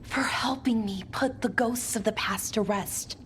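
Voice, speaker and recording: A woman voicing a gentle, hopeful young female adventurer in a fantasy video game, sincere and a little hesitant. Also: fairly high pitch, a little slow, slight background noise, natural voice. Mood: earnest, hopeful. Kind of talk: video game character acting.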